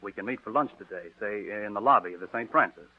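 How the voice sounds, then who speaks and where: A man's voice: lowish voice, fast talker, natural voice. A man playing a stammering scheming nephew. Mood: earnest. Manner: earnest and stammering. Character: scheming young male nephew feigning devotion. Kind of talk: 1940s radio acting. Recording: tinny old radio broadcast.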